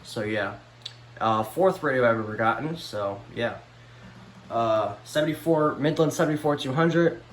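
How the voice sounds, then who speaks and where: A man's voice: medium pitch, moderate pace. A man giving a casual, unscripted vlog tour of a collection in a rambling, mildly exasperated way, repeating himself as he goes. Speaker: vlogger showing a weather radio collection. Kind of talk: casual unscripted show-and-tell. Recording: echoey room with faint background noise.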